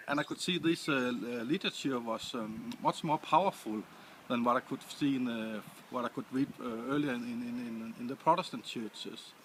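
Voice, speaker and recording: A man from Denmark with a Danish accent, answering interview questions in English with many pauses and restarts. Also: mid-range voice, gravelly, fairly bright tone, a little hiss or hum, poor audio. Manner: hesitant, many restarts and fillers. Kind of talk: casual interview.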